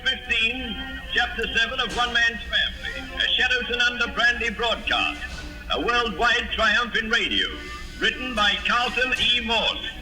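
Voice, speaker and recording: A man announcing and narrating an old radio family serial in a formal, measured storytelling manner. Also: very high voice, steady tone, rough recording. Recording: old radio broadcast with music.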